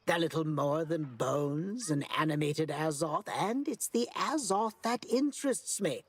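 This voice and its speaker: A woman's voice: deep voice, animated, measured pace, breathy edge, exaggerated voice. A woman playing an impatient, curious scholar in a video game, speaking formally and theatrically. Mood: matter-of-fact, eager. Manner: theatrical, exasperated, formal wording. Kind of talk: video game character acting.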